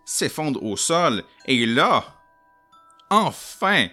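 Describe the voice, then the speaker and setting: A man with a Québécois accent narrating a solo tabletop roleplaying game in an animated, jokey way and talking to his audience. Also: a little high, very slow, faint music behind. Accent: Québécois French.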